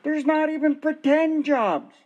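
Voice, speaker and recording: A man's voice: very high-pitched, measured pace, a little mellow, lo-fi sound. A man playing a frustrated middle-aged injured worker who calls one office after another and insists on his case. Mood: exasperated. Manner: exasperated, insistent. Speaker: caller. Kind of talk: amateur radio play acting.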